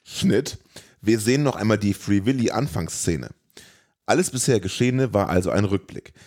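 A man's voice: lowish voice, animated, normal speed, darkish tone. A man reading out a scripted, comedic plot recap of a film.

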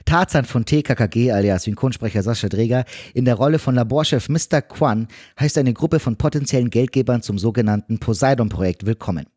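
A man's voice: mid-range voice, a little fast, few pauses, dark tone, husky, dry recording. Dry-recorded man, wry film recap. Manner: wry, tongue-in-cheek. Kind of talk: scripted film recap narration.

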